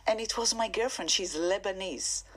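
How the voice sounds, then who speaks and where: A woman from Israel with an Israeli accent, a singer and composer talking as an earnest, enthusiastic radio guest who often pauses with fillers. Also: medium pitch, slightly rough. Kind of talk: radio interview conversation.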